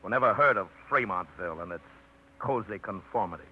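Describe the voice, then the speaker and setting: Dry, low-voiced truck-line man. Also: lively intonation, brisk, slightly rough. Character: blunt, well-read truck-line man, war veteran. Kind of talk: 1950s radio drama acting. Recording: tinny, hissy 1950s radio broadcast.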